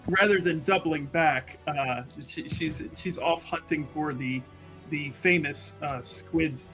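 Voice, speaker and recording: A man chatting casually as a roleplaying player, describing what his character does in halting phrases. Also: on the high side, over music. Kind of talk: casual game chat, describing a character's actions.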